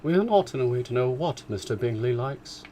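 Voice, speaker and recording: A man voicing an excitable, complaining middle-aged mother, raised well above his own voice with emphatic swoops. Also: medium pitch, brisk, a little hiss or hum, pitched above the performer's own voice, close to an everyday voice. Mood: resentful, scolding.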